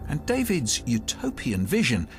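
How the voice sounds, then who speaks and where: A man's voice: mid-range voice, huge pitch swings, measured pace, faint music behind. A man hosting a discussion programme about books, presenting and putting questions to guests. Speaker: programme host.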